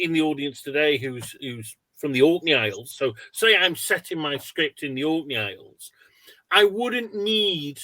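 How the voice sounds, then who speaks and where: A man hosts an informal interview in a bright, slightly high voice with very little room sound. He puts questions plainly and checks what he has understood by offering examples. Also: dry recording. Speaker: webinar host, interviewer.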